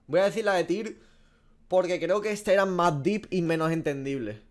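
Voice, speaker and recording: A man's voice: high voice, normal speed. A young man from Spain with a Spanish accent, reacting casually and swearing a lot while guessing game skins. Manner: swears often, animated, self-mocking. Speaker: gaming streamer. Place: small room indoors.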